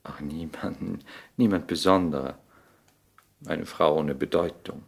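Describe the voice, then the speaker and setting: Suave cynical lord, very low voice, languid and witty, old radio play style. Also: male voice, steady tone, measured pace, vocal fry, slightly rough, full character voice. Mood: musing. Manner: urbane, aphoristic, languid. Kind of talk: 1960s German radio play acting.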